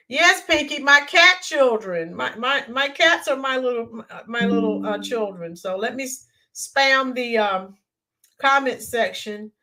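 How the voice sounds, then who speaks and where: Hoarse lady rambling thru a live stream signoff, kinda high voice, calling out viewers by name. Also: a bit bright. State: hoarse, losing her voice. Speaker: YouTube channel host. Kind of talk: live-stream chat sign-off.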